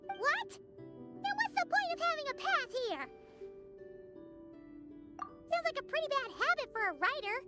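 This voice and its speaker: An extremely high, squeaky little game creature, pushy and cheeky. The delivery swoops eagerly up and down. It rings with long reverb over loud music. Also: female voice, sing-song, a little slow, pauses often, heavily acted. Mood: indignant. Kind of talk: animated video game acting.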